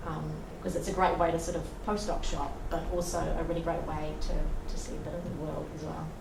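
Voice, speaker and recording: A woman with a slightly low voice answers a question on a discussion panel, talking earnestly and leaning on conversational fillers. She is picked up from a distance in a roomy lecture hall, with clear background noise. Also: little pitch movement, fast talker. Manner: earnest, conversational, many "you know" fillers. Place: lecture room at an evening event.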